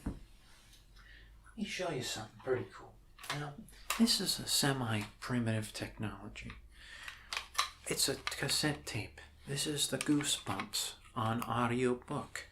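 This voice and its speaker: A man in an ASMR role-play playing a friendly doctor who rambles casually and haltingly to reassure an alien patient. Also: medium pitch, background noise, natural voice. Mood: friendly explaining.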